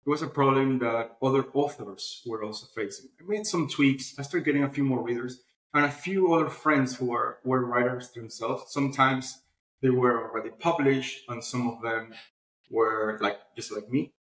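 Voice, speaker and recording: A man's voice: medium pitch, moderate pace, fairly bright tone. Man explaining in a roomy echo. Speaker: interview guest, publishing platform founder. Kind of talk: conversational interview answers.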